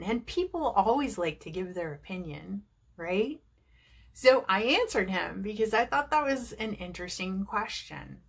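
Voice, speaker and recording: A woman's voice: medium pitch, husky. An American woman from New Jersey, an English teacher who talks viewers through tips in a chatty, encouraging way. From New Jersey, United States. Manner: chatty, encouraging, asks rhetorical questions. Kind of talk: video lesson to camera.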